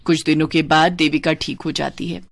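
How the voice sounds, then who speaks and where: A woman's voice: deep voice, flat delivery, moderate pace, creaky. A woman narrating a scripted moral cartoon story in a dramatic storytelling style.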